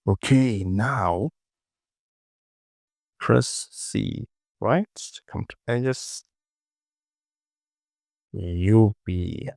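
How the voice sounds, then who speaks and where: A man with a fairly low, creaky voice walks through a software tutorial at a slow pace. He is informal and repeats words as he talks to himself. The recording is close and dry. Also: dry recording. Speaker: 3D modeling tutorial presenter.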